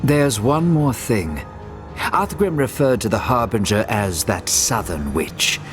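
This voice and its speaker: A man's voice: lowish voice, sing-song, slow pace. A man playing a confident young elven prince and mage in a fantasy game, proud, earnest and formal. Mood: pondering. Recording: music behind the voice.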